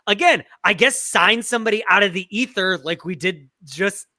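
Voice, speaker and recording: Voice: mid-range voice, normal speed, bright, forceful tone, no echo, studio quality. A podcast panelist in a casual sports discussion, explaining things in long, looping, emphatic runs.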